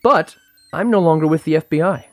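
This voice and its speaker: A woman's voice: sits low, fairly quick, a little mellow, soft background music, natural voice. A woman playing a cool, condescending government agency chief in an audio comedy drama, formal and smugly authoritative.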